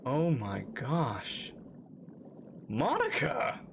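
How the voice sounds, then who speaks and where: A woman's voice: rumbling low, huge pitch swings, very slow, background noise. A woman giving casual live game commentary, thinking aloud and exclaiming as she reacts. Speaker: reaction streamer playing a game.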